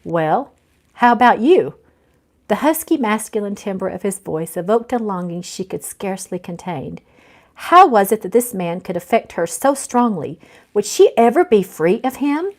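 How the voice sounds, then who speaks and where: Casting: female author-narrator for a fiction reading, very lively intonation, mid-range voice. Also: medium pitch, moderate pace. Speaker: author reading own novel aloud. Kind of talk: animated audiobook-style narration.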